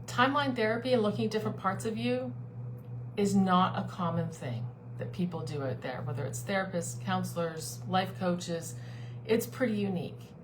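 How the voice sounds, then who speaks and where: Woman, life coach, mid-pitched, earnest and persuasive, live stream with a steady hum behind her. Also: moderate pace. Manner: earnest, persuasive, repeats key phrases. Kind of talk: live-streamed talk to a online group.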